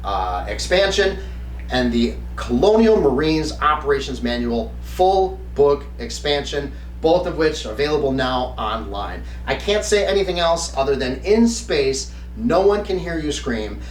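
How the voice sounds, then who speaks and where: A man's voice: a little high, very animated, measured pace. A middle-aged man hosting a review in an earnest, emphatic way, speaking to camera in a semi-scripted style. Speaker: host, tabletop game reviewer. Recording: roomy, distant mic, faint background noise.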